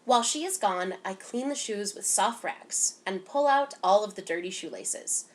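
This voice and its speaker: A woman reading a picture book aloud to children in an expressive, animated way, giving emphasis to the dialogue. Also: medium pitch, moderate pace. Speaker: children's story time reader.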